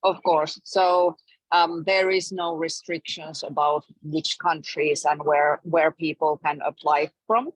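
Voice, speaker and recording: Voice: medium pitch, little pitch movement, takes it slowly, slightly rough, dry recording, rough recording. A panelist in a railway station restaurant who answers webinar questions in a friendly, reassuring way.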